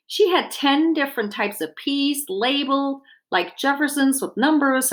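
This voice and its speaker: A woman's voice: medium pitch, a bit bright. A woman reading a children's book aloud expressively, with simple explanatory asides as if to young children.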